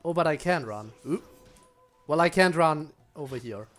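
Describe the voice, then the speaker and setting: A female game streamer comments casually while playing. Her pitch moves around in a lively way, and her remarks are offhand, half muttered to herself. Game music plays faintly behind her. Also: normal speed. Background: video game music.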